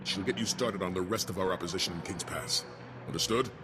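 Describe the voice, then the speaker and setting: A man acting a stern, seasoned army colonel for a video game. The voice sits very low and speaks in clipped, authoritative orders. Music plays clearly behind it. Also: fast talker, close to an everyday voice. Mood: urgent. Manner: clipped, authoritative military delivery. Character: gruff seasoned male army colonel, stern strategic advisor.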